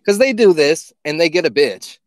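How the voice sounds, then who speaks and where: A man's voice: fairly high pitch, sing-song, moderate pace, dry recording. A man co-hosting a podcast in casual comedic banter, speaking in a mocking, incredulous and profane manner. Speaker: podcast co-host.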